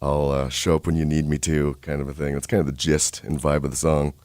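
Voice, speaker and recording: Deep-voiced male musician guest, 30s, mellow and unhurried, dry studio sound. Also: flat delivery, no room sound. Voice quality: deep speaking voice. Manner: laid-back, easygoing and unhurried. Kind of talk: casual interview chat. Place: radio studio.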